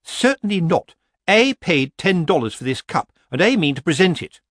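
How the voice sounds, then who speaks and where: A shrill, high-pitched witch of high standing, played by a male narrator in a storybook. She is prim, bossy and brittle, with indignant, swooping phrases. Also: very animated, moderate pace, a bit bright, no room sound, clean studio sound. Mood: haughty indignation. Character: haughty, brittle, bossy society witch, a woman.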